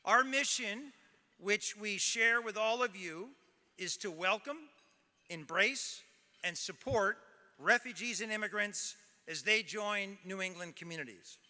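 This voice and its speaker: A man's voice: high-pitched, a little slow, bright, forceful tone. A man, the president of a nonprofit, giving a formal welcome speech at a gala with light joking. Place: large ballroom. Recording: hall reverb, faint crowd.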